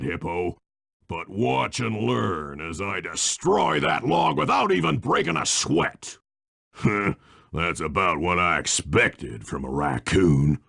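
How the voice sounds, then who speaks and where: An actor voicing a boastful, bullying old-time lumberjack villain in a cartoon game, raspy and taunting with a folksy rustic North American drawl. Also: male voice, fairly low pitch, sharp tone, no room sound, studio quality, heavily acted. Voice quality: gravelly, raspy.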